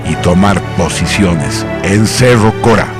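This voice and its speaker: Deep-voiced male radio drama narrator. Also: measured pace. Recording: loud music under the voice.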